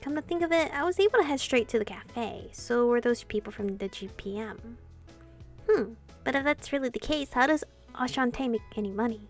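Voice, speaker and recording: A woman hosting a gameplay channel, reading dialogue aloud and commenting in an expressive way, free with swearing. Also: fairly high pitch, a little fast. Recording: game music behind.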